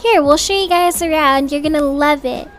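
A woman's voice: high voice, moderate pace, over loud music, lightly acted. A woman playing an upbeat, chatty young mother in an improvised family roleplay, casual, reassuring and gushing.

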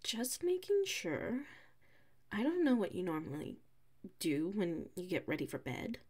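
A woman playing a flustered, defensive female classmate with a secret crush in an ASMR audio roleplay, huffy and halting. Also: lowish voice, wildly swooping pitch, a little mellow, husky, exaggerated voice. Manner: halting, huffy, defensive, slips into muttered whispers.

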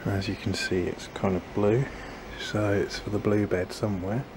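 Low-voiced man musing in his garden. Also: fairly even intonation, moderate pace. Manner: musing, self-doubting, chatty, trails off. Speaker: gardening vlogger. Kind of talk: casual thinking-aloud narration while gardening. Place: small backyard garden outdoors. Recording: noisy outdoor ambience.

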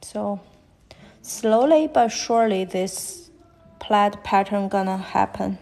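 Slow, gravelly-voiced woman with a Chinese accent. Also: medium pitch, a little mellow. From China. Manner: halting, thinking aloud while drawing. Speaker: fashion illustrator. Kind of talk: live-stream drawing commentary. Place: at a desk, drawing on paper.

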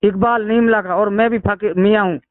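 A man's voice: high-pitched, steady tone, a little fast, no room sound. A man with a Mewati accent complaining over the phone in a rambling, aggrieved way, swearing at times. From Mewat region, India. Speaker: caller making a complaint. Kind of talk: phone conversation, recounting a grievance. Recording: narrow-band, tinny phone call recording.

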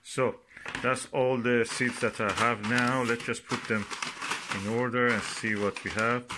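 A man giving casual, unscripted commentary as he shows items, speaking haltingly in short phrases with pauses between them. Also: mid-range voice, level tone. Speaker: gardening vlogger. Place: indoors at a work table. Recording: noisy home recording with clicks and rustling.

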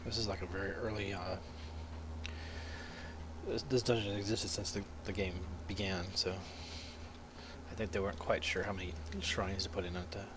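A man's voice: low voice, little pitch movement, normal speed, pauses often, noisy background. A congested man with a cold, chatting casually and muttering to himself while playing a fantasy game. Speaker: gameplay commentator. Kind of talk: casual gameplay commentary.

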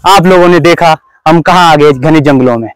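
High-voiced young man vlogging. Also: normal speed, clean tone, dry recording, soft background music, rough recording. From Himachal Pradesh, India. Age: 20s. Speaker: travel vlogger. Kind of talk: casual vlog chat to camera. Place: outdoors on a forested mountain trail.

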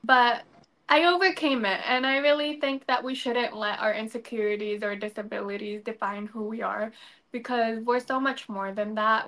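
A young woman answers interview questions in an earnest, polished way. Her voice sits a little high. She talks from a room over a video call. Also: a bit bright. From United States. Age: 20s. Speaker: pageant candidate, guest. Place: indoor room.

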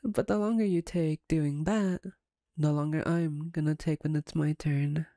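A woman's voice: sits low, steady tone, measured pace, mellow, dry recording, clean studio sound. A woman playing a playful, domineering female vampire captor in an intimate ASMR roleplay, teasing and sardonic.

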